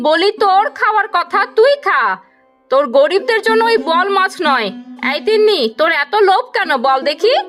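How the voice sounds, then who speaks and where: A woman voicing a stingy, sharp-tongued village wife and mother in a cartoon, with a theatrical delivery. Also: very high voice, expressive, normal speed, over music. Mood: scolding, resentful. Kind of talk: cartoon dialogue acting.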